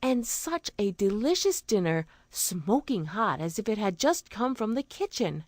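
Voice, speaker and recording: A woman tells a children's fairy tale as a storyteller. Her delivery is highly animated in pitch, and the recording is dry and close, with little room sound. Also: a little slow, no room sound.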